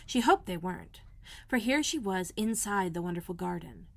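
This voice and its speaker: A woman narrating a children's storybook as a read-aloud host, in a measured, expressive storytelling manner. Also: lowish voice, creaky. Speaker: elementary school librarian, host.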